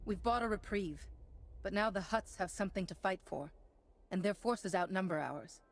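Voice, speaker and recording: An actress playing a stern, commanding Jedi heroine in a video game, speaking with dry authority. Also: female voice, medium pitch, moderate pace, a little hiss or hum, close to an everyday voice. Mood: matter-of-fact. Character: stern, commanding young female Jedi hero. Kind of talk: video game cutscene acting.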